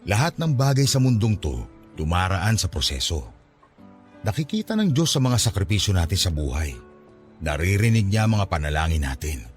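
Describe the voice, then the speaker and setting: A man acting a devout, wise, gently nagging father in his fifties in a Filipino radio drama, speaking in a fatherly, reassuring way with a Filipino accent. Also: low-pitched. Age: 50s.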